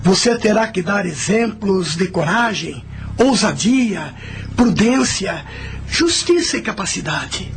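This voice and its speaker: A man's voice: high-pitched, expressive, slow pace, exaggerated voice. A man playing a stern, proud general and father in a radio drama, speaking in a solemn, commanding way. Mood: proud, exhorting. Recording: faint music under the voice.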